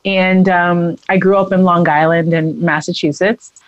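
A woman singer in her thirties, from the American East Coast, chatting brightly and laughing as an interview guest. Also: fairly low pitch, fairly even intonation, unhurried, dry recording, dull audio. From United States East Coast. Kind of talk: casual interview talk.

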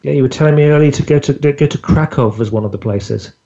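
A man's voice: mid-range voice, quick pace, creaky, warm tone. A man hosting an interview podcast, chatting casually with plenty of fillers and restarts. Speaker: podcast host. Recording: dull lo-fi audio.

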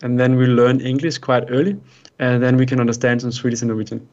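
Danish male guest, native Danish, mid pitch, earnest coaching tone, muffled recording. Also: flat delivery, quick pace, a little mellow. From Denmark. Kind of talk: interview answers. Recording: dull lo-fi sound.

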